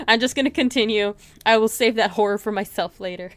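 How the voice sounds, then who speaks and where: A woman's voice: fairly high pitch, normal speed, fairly bright tone, dry recording, soft background music. A woman livestreaming a visual novel read-aloud, giggling and reacting aloud in casual asides. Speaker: streamer.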